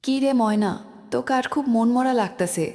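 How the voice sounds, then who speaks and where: A woman's voice: fairly high pitch, fairly quick, warm tone, natural voice. A woman voicing a sorrowful, confiding poor village girl in a cartoon, with a rural Bengali accent. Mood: sad, concerned. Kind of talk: cartoon drama dialogue in village dialect. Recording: reverberant recording.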